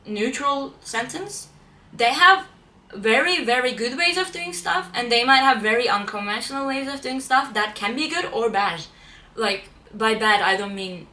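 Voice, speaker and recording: A woman's voice: on the high side, sharp tone, recorded from a distance. A young woman from Turkey whose first language is Turkish, talking casually to camera, chatty and often restarting her phrases. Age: young adult. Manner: chatty, repeats and restarts phrases, self-correcting. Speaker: vlogger. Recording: echoey room, voice a bit off-mic.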